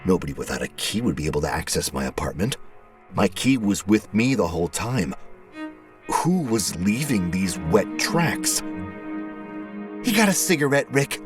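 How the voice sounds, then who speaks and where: A man reading scripted first-person horror narration as a storyteller, measured and with dramatic pauses. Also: sits low, big pitch swings, music in the background.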